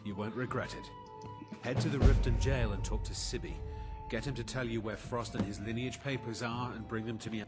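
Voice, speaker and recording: An actor playing a shady, businesslike male schemer in a fantasy video game, measured and smooth-talking. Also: medium pitch, fairly even intonation, lightly acted. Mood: satisfied, instructing. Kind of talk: video game character dialogue. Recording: game music behind. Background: clear game music.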